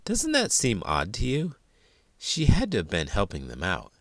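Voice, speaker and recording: A man's voice: lowish voice, huge pitch swings, moderate pace, fairly bright tone, dry recording, clean studio sound. An American man hosting a read-aloud, narrating a children's history picture book.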